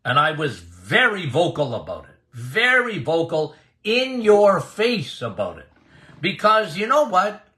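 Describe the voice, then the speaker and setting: An older man, a doctor hosting a health podcast, speaking loudly and bluntly in a casual, emphatic monologue. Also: fairly high pitch, huge pitch swings, unhurried. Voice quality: loud voice.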